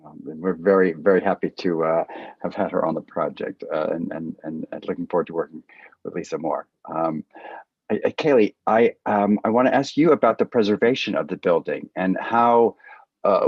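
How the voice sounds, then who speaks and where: A man moderating a panel discussion, phrasing his questions in a halting, hesitant way, on dull audio. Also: low-pitched, husky, no echo.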